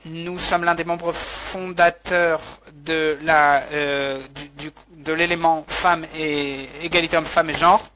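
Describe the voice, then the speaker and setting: A man interpreting a webinar talk live, halting, with frequent restarts and fillers. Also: on the high side, steady tone, bright, forceful tone, a little hiss or hum.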